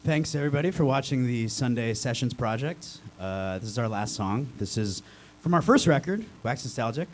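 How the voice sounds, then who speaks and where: A man's voice: mid-range voice, expressive, normal speed, a little hiss or hum. A man speaking casually as a band musician, chatting and introducing material to viewers. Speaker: band musician being interviewed. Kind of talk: casual chat and song introduction.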